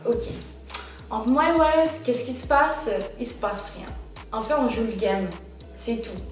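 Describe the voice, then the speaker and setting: A woman's voice: on the high side, lively intonation, measured pace. A woman on a reality show, talking to the camera in casual slang and gossiping about other contestants. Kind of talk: confessional to camera. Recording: echoey room, from far off, music behind.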